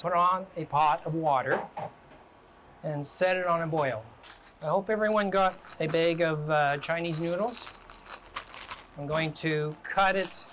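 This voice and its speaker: A man from Canada working as a guest chef, talking relaxed and step by step through a home cooking demonstration in a kitchen. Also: fairly high pitch, slight background noise.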